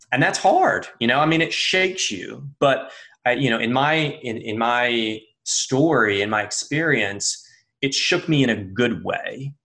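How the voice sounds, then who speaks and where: An earnest man in his thirties talking through a personal story as a guest. He speaks at a middling pitch, in halting phrases with frequent restarts and fillers. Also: a bit bright. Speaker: interview guest. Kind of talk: reflective conversational interview.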